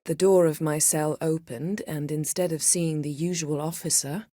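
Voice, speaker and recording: A woman voicing a proud, sharp-tongued sorceress in a video game, speaking in a cool, measured, sardonic and commanding manner. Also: deep voice, steady tone, no echo, studio quality. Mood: serious, composed.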